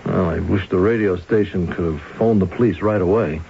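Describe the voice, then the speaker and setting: A man's voice: low voice, normal speed, gravelly, dark tone. An actor playing an affable, hesitant pilot and eyewitness in a 1970s radio drama, stammering and repeating his words. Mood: unsure, uneasy. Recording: dull, hissy vintage radio broadcast.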